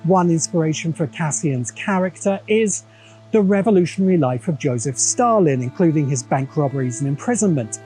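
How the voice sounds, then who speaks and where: Wry English male essayist; soft husky voice, slightly high, reading scripted narration. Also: lively intonation, moderate pace, soft background music, poor audio. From England. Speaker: video essay narrator and host.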